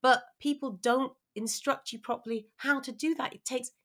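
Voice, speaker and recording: A woman's voice: high-pitched, steady tone, moderate pace, fairly bright tone. A woman and former opera singer co-hosting a casual podcast, chatty and emphatic as she explains things. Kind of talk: casual chat.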